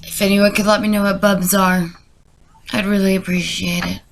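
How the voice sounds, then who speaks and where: Creaky-voiced woman in sarcastic comedy commentary. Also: mid-range voice, little pitch movement, moderate pace. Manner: sarcastic, rambling, incredulous asides. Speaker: comedy video creator. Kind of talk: deadpan comedic commentary and dramatic reading. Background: music.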